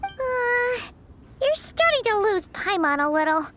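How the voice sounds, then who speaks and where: A woman voicing a small, cheeky, excitable childlike fairy companion in a video game, with a squeaky, cutely exaggerated delivery. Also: a little slow, heavy background noise, full character voice. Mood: confused. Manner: cute, exaggerated, speaks of herself in third person.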